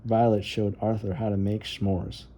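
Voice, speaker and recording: A man reads a picture book aloud to children as narrator. His voice is very dark and mellow, with no sharp edge at all. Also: fairly low pitch, little pitch movement, unhurried. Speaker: storybook reader.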